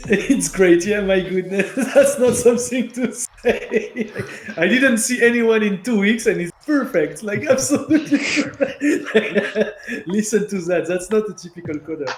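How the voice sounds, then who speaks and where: A man's voice: high-pitched, expressive, measured pace, echoey room, a little hiss or hum. A man co-hosting a live stream, joking and laughing a lot as he talks. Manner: laughs a lot, playful hype. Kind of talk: casual joking chat.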